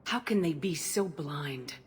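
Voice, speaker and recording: An actress playing a stern, impatient military commander in a sci-fi video game, firm, terse and blunt. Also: female voice, deep voice, animated, measured pace, breathy edge, full character voice. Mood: frustrated. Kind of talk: dramatic video game acting.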